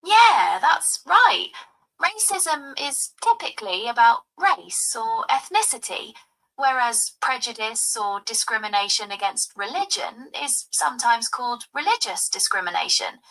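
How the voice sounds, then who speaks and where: A woman's voice: on the high side, very animated, measured pace, a bit bright, poor audio. A synthetic woman's voice with a put-on Cockney accent, breezily explaining things to a user. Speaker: voice assistant. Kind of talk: chatty explaining.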